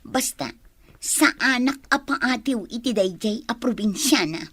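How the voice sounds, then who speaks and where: A woman's voice: on the high side, expressive, measured pace, fairly bright tone, husky, no echo, full character voice. A woman playing an eager, obedient young secretary and daughter in a radio drama, with an Ilocano accent. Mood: eager, questioning.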